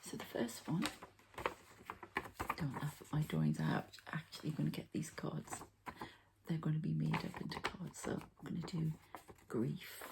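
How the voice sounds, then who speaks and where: Slow, low whispering ASMR woman. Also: background noise. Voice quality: breathy whispering. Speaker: ASMR host and energy healer. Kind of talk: ASMR, soft whispered healing session.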